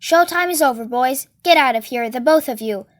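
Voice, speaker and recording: Woman reading as a gruff, menacing tough guy. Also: sits high, moderate pace, lightly acted. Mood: irritated, dismissive. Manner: curt, sneering, bossy. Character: gruff menacing tattooed man, scornful small-time tough.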